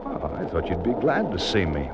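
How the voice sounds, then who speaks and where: An actor playing a wry, cool American secret agent in a 1950s radio thriller, narrating and talking with a flippant, nonchalant air. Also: male voice, deep voice, huge pitch swings, normal speed, highs cut off, very noisy. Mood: flippant, teasing. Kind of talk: 1950s radio thriller acting, first-person narration and dialogue.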